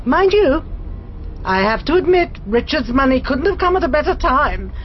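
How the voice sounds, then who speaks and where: An actress playing a hearty, long-suffering middle-aged English wife in a radio drama, chatting matter-of-factly. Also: female voice, high-pitched, very animated, normal speed, slight background noise. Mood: frank. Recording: slightly muffled radio drama recording.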